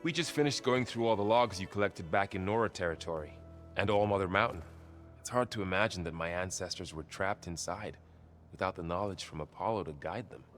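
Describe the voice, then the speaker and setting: An actor playing a loyal, thoughtful young warrior in a video game, speaking in a calm, sincere, conversational way. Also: male voice, sits low, fairly quick, soft background music. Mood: thoughtful, reassuring. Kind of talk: naturalistic video game cutscene acting.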